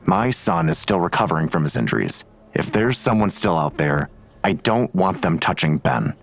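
A man's voice: deep voice, big pitch swings, normal speed, creaky, exaggerated voice. A man playing a weary, grudging police detective in an audio drama, curt and sardonic in manner. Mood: grudging, guarded.

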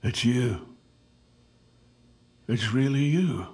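A man's voice: medium pitch, animated, very slow, darkish tone. An actor in an audio drama playing a wry, world-weary old twin brother in his sixties. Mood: wry, moved. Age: 60s. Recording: roomy echo, music underneath.

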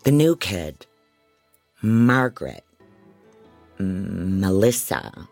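Low, unhurried, frazzled private eye. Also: male voice, sing-song, creaky, a little mellow, faint music behind, heavily acted. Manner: rambling, self-doubting, wry. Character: frantic, disorganized unlicensed private investigator. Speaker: narrator and lead actor. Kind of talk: first-person dramatic audio fiction narration.

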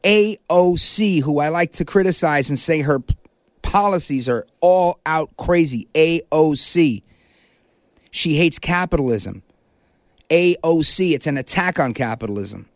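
Middle-aged New male radio host, forceful monologue, slightly raised pitch, dead-dry studio mic. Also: animated, no room sound. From Brooklyn, New York, United States. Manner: emphatic, punchy, repeats key words with slow stress. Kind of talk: political talk radio monologue. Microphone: studio microphone.

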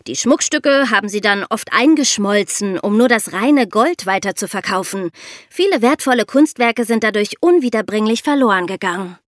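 A woman's voice: mid-range voice, lively intonation, moderate pace, dry recording, studio quality. A young woman co-hosting a podcast, explaining and asking in a conversational tone. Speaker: podcast co-host.

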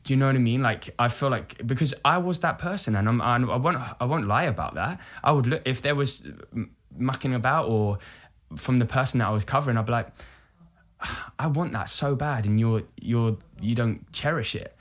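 A man's voice: medium pitch, fairly quick. A man in his thirties from the UK, an actor talking candidly and reflectively as an interview guest. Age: 30s. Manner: earnest, reflective, self-quoting. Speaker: musical theatre actor, podcast guest.

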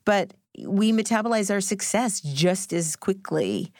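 A middle-aged woman as an interview guest, speaking candidly and deliberately in reflective, personal storytelling. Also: medium pitch, animated, darkish tone, no echo, studio quality.

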